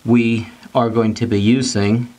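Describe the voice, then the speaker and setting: A man's voice: medium pitch, steady tone, a little slow, darkish tone, low-quality recording. A middle-aged man giving an informal technical lesson, plain-spoken, repeating and rephrasing his points step by step. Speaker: technical instructor. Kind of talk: whiteboard lesson, informal explanation.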